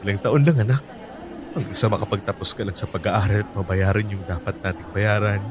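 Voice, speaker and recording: An actor playing a humble father who leaves his family to work abroad, speaking softly and earnestly in a radio drama. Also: on the low side, steady tone, fairly quick, crowd noise, natural voice. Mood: tender. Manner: soft, earnest, gentle. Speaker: overseas worker, father.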